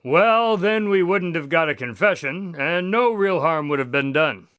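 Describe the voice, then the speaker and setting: A man plays a loyal, genial storyteller in a radio play. His voice is on the high side and crisp-edged, dry, with genteel, assured, old-fashioned phrasing. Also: lively intonation, unhurried, a bit bright, dry recording. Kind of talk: amateur radio drama acting.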